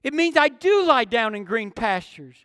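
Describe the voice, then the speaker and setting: High-pitched male preacher, dry sound. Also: very animated, normal speed, pushed and bright, no echo, long reverb tail. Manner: emphatic, repetitive phrasing for effect. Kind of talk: expository sermon.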